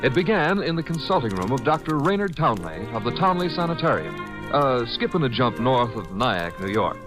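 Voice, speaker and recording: A man's voice: medium pitch, big pitch swings, normal speed. A man narrating as a 1940s radio announcer in a theatrical, wry style. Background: music.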